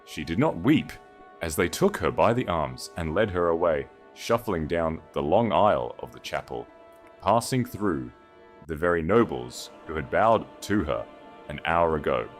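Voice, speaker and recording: A man reading a dramatic story as an audiobook narrator, solemn and with deliberate emphasis. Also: low voice.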